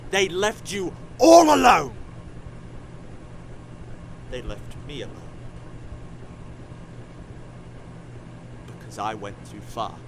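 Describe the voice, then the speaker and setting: An actor playing a bitter, cruel older time-travelling scientist in an audio drama, intense and scornful. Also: male voice, a little high, huge pitch swings, slow, very noisy, heavily acted. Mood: bitter, cold.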